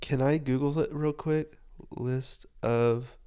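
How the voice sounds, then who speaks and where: Young man with a cold, smooth nasal voice. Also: mid-range voice, fairly even intonation, slow, mellow. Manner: teasing, playful, drifts off into asides. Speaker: podcast co-host. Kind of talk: casual chat.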